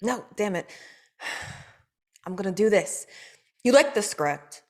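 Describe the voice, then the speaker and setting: A woman's voice: mid-range voice, animated, slow, darkish tone. An actress at a table read playing an awkward, hesitant young woman who writes scripts.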